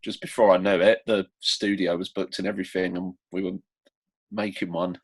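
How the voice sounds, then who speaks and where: A man's voice: low voice, little pitch movement, normal speed, slightly rough. A young man, a musician being interviewed, chatting casually in a self-deprecating way. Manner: self-deprecating, laughs between phrases.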